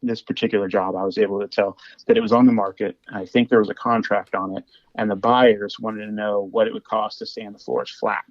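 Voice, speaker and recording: A man's voice: lowish voice, flat delivery, brisk, no echo. A man with a Midwestern American accent, a flooring business owner, talking casually as a podcast guest and often restarting his sentences. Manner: rambling, with frequent restarts and repeated words. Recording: dull lo-fi audio.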